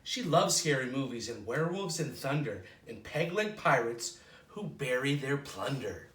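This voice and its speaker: A man reads a children's picture book aloud as a storytime host. His voice is bright and forceful, and he stretches words for playful drama. It is recorded from a distance in an echoey space. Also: medium pitch, a little hiss or hum. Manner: expressive, playful delivery with drawn-out dramatic words. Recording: roomy echo, distant microphone.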